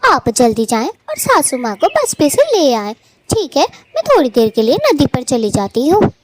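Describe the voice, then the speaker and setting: A woman's voice: high-pitched, wildly swooping pitch, moderate pace, a little hiss or hum. A woman voicing a patient young wife in a cartoon, pleading and eager to please. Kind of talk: Hindi cartoon voice acting.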